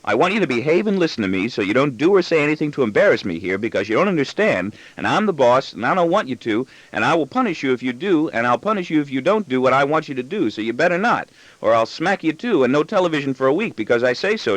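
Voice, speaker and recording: A man's voice: a little high, big pitch swings, fast, dry recording, pitched above the performer's own voice, poor audio. A man voicing a bossy, argumentative small boy with childlike insistence in an audiobook story. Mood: bossy, scolding. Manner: indignant, insistent, childlike pestering.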